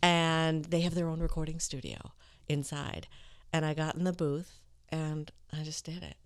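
A woman talking conversationally as an interview guest, explaining and telling stories in an articulate, clear, smooth voice. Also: low-pitched, fairly even intonation, moderate pace, no room sound, studio quality. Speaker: voice-over artist and interview guest.

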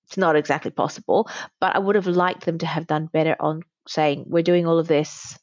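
Low-pitched woman, slightly dull recording. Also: fairly even intonation, a little fast, a little mellow, dry recording. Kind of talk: casual chat.

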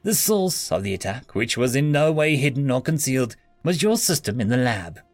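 A man's voice: medium pitch, big pitch swings, normal speed, no echo, faint music behind. Man playing a stern, formal interrogator. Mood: stern, grave. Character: stern male interrogator, businesslike and unyielding. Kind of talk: acted story dialogue.

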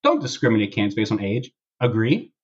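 A man with a husky voice hosting a casual podcast, chatting and reading posts aloud in a wry, sarcastic way. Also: on the low side, very animated, quick pace, muffled recording. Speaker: podcast host.

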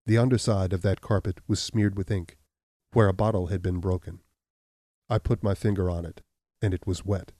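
A man voicing a stern, implacable accuser who speaks in a deep, level, measured way. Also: dry recording, studio quality. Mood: accusing. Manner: calm, measured, unyielding. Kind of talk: audiobook reading.

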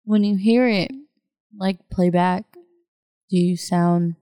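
A woman's voice: on the low side, fairly even intonation, slow pace, dark tone, smooth, dry recording, studio quality. A woman chatting casually as a podcast co-host, curious and asking lots of questions. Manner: curious, asks many questions. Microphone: headphones and mic.

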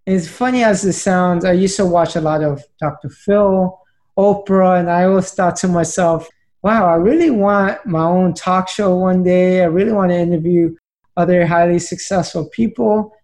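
A man's voice: high-pitched, moderate pace, darkish tone. A man in his late twenties, a podcast guest telling his story in an earnest, plain way. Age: late 20s. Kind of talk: conversational storytelling.

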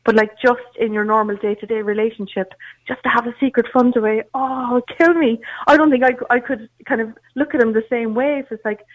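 A woman's voice: fairly high pitch, fairly even intonation, a little fast. A woman co-hosting a radio talk show, chatty and rambling, full of fillers and light laughter. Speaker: radio co-host. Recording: tinny phone-like line.

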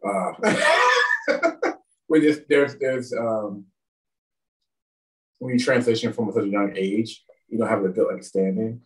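Lively lisping man, a bit off-mic. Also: medium pitch, takes it slowly, long pauses, distant mic, low-quality recording. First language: French. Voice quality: lisp. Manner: rambling, self-deprecating, laughs often. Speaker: guest, pro wrestler. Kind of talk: casual interview chat.

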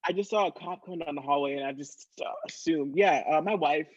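A man with a fairly high voice plays out improvised comedy. He protests haltingly and absurdly, and the sound is a little dull. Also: slightly rough.

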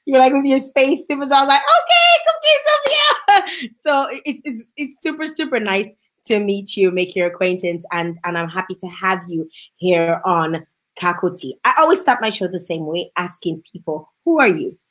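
A woman's voice: mid-range voice, lively intonation, normal speed, fairly bright tone. A woman hosting a casual interview, talking in an effusive, chatty manner. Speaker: show host.

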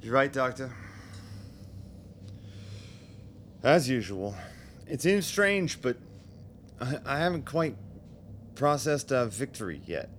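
A man's voice: medium pitch, sing-song, a little slow, long pauses, fairly bright tone. An actor in a radio drama playing a self-doubting political leader, a man who sounds hesitant and agitated. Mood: humbled, unsure. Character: anxious, self-doubting new political chairman, a war hero. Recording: noticeable background noise.

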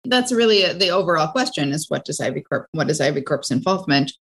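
A woman's voice: low voice, very animated, fast talker, fairly bright tone, husky. A woman talking casually as a player in a tabletop role-playing game. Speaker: player on a game show podcast.

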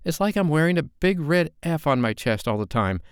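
A man's voice: mid-range voice, expressive, normal speed, darkish tone, clean tone, no room sound, clean studio sound, voice pushed up, natural voice. A man voicing an upset young woman in an audiobook, speaking in a raised, strained, heated way. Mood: angry, bitter.

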